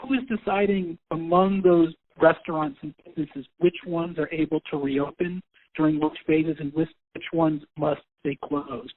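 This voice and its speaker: A man's voice: fairly high pitch, steady tone, normal speed. A man working as a reporter, putting questions to officials on a press conference call. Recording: thin, tinny phone line.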